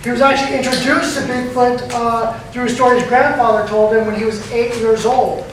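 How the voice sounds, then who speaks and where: A man's voice: extremely high pitch, flat delivery, a little fast. A man hosting a live festival event, introducing the next speaker with a hesitant delivery full of fillers. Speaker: festival MC and host. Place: event venue before a crowd. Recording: echoey hall, distant mic, background noise.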